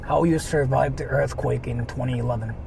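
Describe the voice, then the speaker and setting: A man's voice: medium pitch, fairly even intonation, brisk, gravelly. A man with a Japanese accent, a native Japanese speaker, chatting casually and in a rambling, repetitive way as he livestreams from his car. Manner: rambling, repeats himself, crude joking. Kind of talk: casual livestream chat, reading donations. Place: inside a car while driving. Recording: noisy moving car interior.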